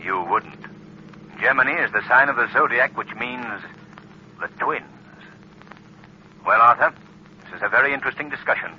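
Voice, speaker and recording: An actor playing a mysterious, coolly superior crime fighter in 1930s radio style, with a deliberate, taunting manner. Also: male voice, mid-range voice, close to an everyday voice. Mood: mocking, smug. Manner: mocking, deliberate. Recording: muffled, noisy 1930s radio recording.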